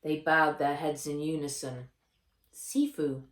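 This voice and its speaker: A woman reading a children's novel aloud as its author, in a measured, expressive storytelling way. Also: low-pitched. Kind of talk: children's audiobook narration. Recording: roomy echo.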